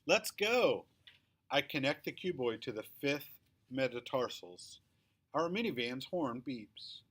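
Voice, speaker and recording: A man's voice: medium pitch, very animated, slow pace, fairly bright tone. Man reading a children's book aloud. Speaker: read-aloud storyteller. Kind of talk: scripted children's book read-aloud, first-person narration.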